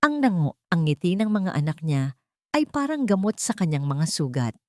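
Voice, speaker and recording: Filipina woman narrating a drama story, lowish voice, super dry sound no echo. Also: big pitch swings, moderate pace.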